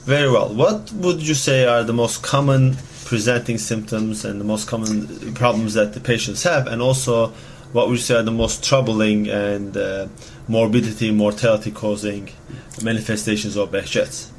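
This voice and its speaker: A man's voice: on the low side, fairly even intonation, normal speed, a little hiss or hum. A man interviewing a doctor, asking long, formal, polite questions.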